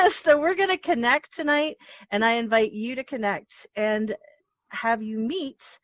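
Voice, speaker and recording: A woman's voice: fairly high pitch, slow, fairly bright tone, no echo. A woman hosting an interview show, bubbly and effusive, laughing often. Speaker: podcast host. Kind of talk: casual interview chat.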